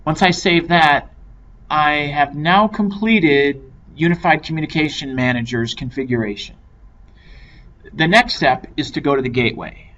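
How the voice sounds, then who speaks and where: Higher-voiced male instructor, dull noisy recording. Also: a bit bright. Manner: measured, explanatory step-by-step delivery. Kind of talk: software tutorial demonstration. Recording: dull lo-fi microphone with clear noise.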